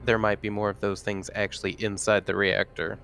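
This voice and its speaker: A man's voice: fairly low pitch, little pitch movement, fairly quick, creaky, a bit bright. A man playing a tabletop roleplaying game, thinking aloud in a casual, hesitant way. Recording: faint background noise.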